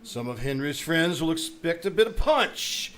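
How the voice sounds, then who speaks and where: Man playing a pompous, blustering principal, animated swoops. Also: a little high, moderate pace. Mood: huffy. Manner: blustering, hemming and hawing. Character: pompous middle-aged male school principal, flustered and blustering. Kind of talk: old-time radio comedy acting. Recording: noisy live stage recording.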